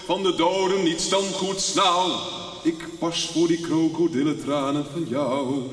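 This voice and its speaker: A man's voice: on the high side, steady tone, a little slow, fairly bright tone, far from the mic. A man acting as an insistent, exasperated son in a radio soap. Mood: angry. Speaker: radio drama actor.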